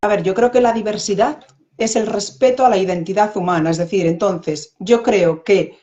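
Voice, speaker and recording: A woman's voice: mid-range voice, moderate pace, warm tone, highs cut off. A woman guest in a live online interview, speaking reflectively and hesitantly, often repeating words.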